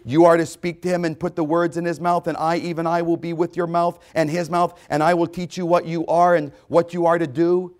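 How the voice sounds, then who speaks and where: A man preaching a sermon, reading scripture aloud and expounding on it. He repeats key phrases for emphasis and swings between loud exclamations and hushed asides. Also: on the high side, flat delivery, fairly quick, few pauses.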